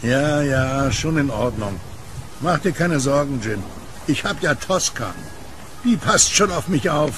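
Blind kiosk vendor, war veteran: gentle, lively range, gravelly edge, noisy outdoor ambience, horror radio play. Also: male voice, a little high, a little slow. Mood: easygoing. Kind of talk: audio drama acting.

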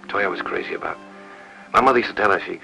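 A jealous, brooding husband in an old film melodrama. His voice is very low and thick with gravel, slow and hushed to near a whisper. Music plays behind him on the old soundtrack. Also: full character voice, low-quality recording. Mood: wistful, intense. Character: bedridden, brooding, menacingly possessive jealous husband. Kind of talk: 1950s film melodrama acting.

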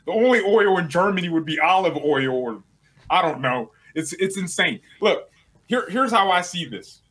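A man's voice: high-pitched, expressive, normal speed, a bit bright. A young man in his twenties, a guest rival fan, bantering playfully and teasing on a video call from a small room at home. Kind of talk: casual banter.

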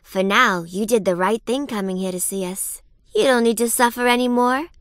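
Woman playing a bright, high-voiced, sinister reaper nurse. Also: moderate pace, dry recording, clean studio sound, lightly acted. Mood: sweetly professional, menacing. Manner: sugary bedside politeness laced with menace. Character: perky, sinister female reaper nurse, sweetly menacing. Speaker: video game villain. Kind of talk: theatrical video game character acting.

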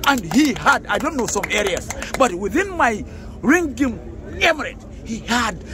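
A man's voice: extremely high pitch, sing-song, measured pace, noisy background. A man with a Nigerian accent, a political activist giving emphatic, grandiose street-interview answers outdoors. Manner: emphatic, grandiose, repeats himself. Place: outdoors on an urban street near traffic.

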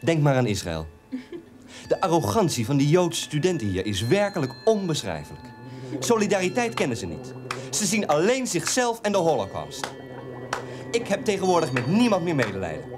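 A woman's voice: sits low, wildly swooping pitch, moderate pace. An actress playing a bitter, disillusioned woman in a radio drama, declaiming with contempt. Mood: contemptuous. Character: bitter, disillusioned woman scorning student activists. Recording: radio play with loud music.

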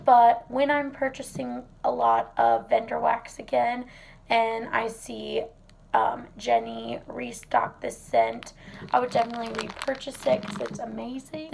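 A hoarse woman chatting casually through a product review vlog in her home kitchen, recorded on a phone camera set on the table. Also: a little high, slight background noise, poor audio. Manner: chatty, relaxed, many fillers. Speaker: wax melt reviewer.

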